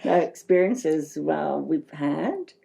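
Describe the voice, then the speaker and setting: A woman's voice: deep voice, animated, slow, gravelly, mellow. A middle-aged woman, chatting enthusiastically as a guest in a casual interview. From Greece, living in Australia. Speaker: interview guest, boutique shop owner.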